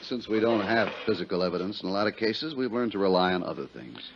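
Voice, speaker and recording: Weary, low, animated American police inspector. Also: male voice, fast talker, vocal fry. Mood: musing. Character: world-weary, skeptical male harbor police inspector. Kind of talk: 1950s radio drama acting, conversational. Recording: muffled, noisy 1950s radio recording.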